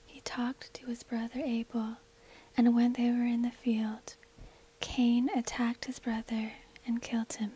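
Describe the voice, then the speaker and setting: Breathy whispering woman, slow ASMR storyteller. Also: fairly high pitch, monotone. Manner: slow, hushed, deliberate phrasing. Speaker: narrator. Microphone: close binaural microphone.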